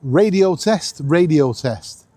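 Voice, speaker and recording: A middle-aged man hosts a casual vlog in an excitable, jokey way. His voice sits high and swings widely in pitch, with a husky edge to it. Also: slow pace, no room sound. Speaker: vlog host. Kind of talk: casual chat to camera while testing a radio.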